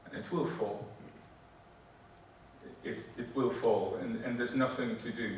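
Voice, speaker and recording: Polish-accented male poet in his forties, unhurried stage reading, captured from across an echoing theatre. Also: mid-range voice, steady tone, a little hiss or hum. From Poland. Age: 40s. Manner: slow, deliberate poetry delivery; halting, joking banter with many fillers. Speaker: performance poet. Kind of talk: spoken word poetry and stage banter. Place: theatre stage before a live audience. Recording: echoey hall, mic from a distance. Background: audience laughter.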